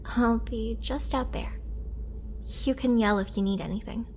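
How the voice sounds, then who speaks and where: A woman playing a gentle, remorseful young vampire in an intimate roleplay audio drama, speaking softly and hesitantly with tender care. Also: fairly high pitch, fairly even intonation, a little slow, slight background noise. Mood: caring, reassuring. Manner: soft, hesitant, caring delivery.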